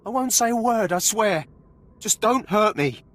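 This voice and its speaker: A man's voice: high voice, expressive, unhurried, a bit bright, slight background noise. An actor playing an anxious, naive young male prison guard in a video game, nervous and pleading. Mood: frightened pleading.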